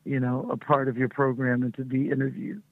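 A woman's voice: very deep voice, flat delivery, brisk, dry recording. A middle-aged woman speaks as a musician guest, bubbly and effusive, laughing often. She comes through a thin, tinny phone line with a dry sound. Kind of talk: casual interview by phone.

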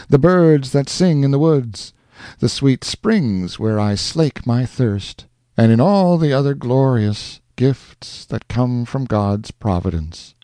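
A man's voice: mid-range voice, warm tone, no room sound, voice pushed up. A man voicing a young frontier woodsman who swings between lofty book talk and a rustic drawl.

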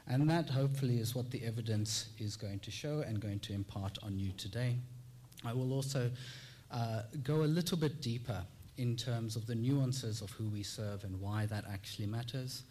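A man from South Africa with a South African accent, giving a measured, formal conference presentation and using rhetorical repetition. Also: medium pitch, darkish tone, slight background noise. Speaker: tax clinic presenter.

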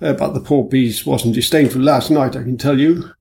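A man reading an audiobook voices a jovial police superintendent who talks loudly and breezily. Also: medium pitch, fairly even intonation, moderate pace, vocal fry, natural voice. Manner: loud, breezy, chuckling.

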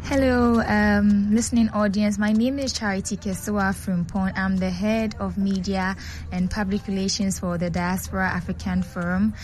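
A woman's voice: medium pitch, level tone, normal speed, darkish tone. A woman guest on a radio show who heads media and public relations, speaking in a composed, articulate way and with conviction.

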